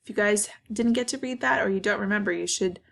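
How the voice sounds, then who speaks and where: A woman's voice: mid-range voice, quick pace, vocal fry, a bit bright. A woman reading a picture book aloud to children, expressively, stopping to explain and ask questions. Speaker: read-aloud storyteller for children. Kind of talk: picture book read-aloud with asides to young listeners.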